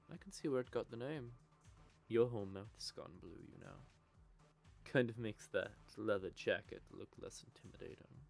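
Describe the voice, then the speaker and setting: A man's voice: mid-range voice, vocal fry, darkish tone. A man voicing a teasing, possessive male vampire in an audio roleplay, with a smug, drawling manner. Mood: flirty teasing. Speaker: voice actor.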